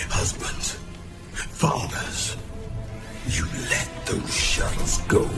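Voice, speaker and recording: A man playing a stubborn, wounded military general in a video game cutscene, gruff, strained and forceful. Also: very slow. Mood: desperate demand. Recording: loud music over the dialogue.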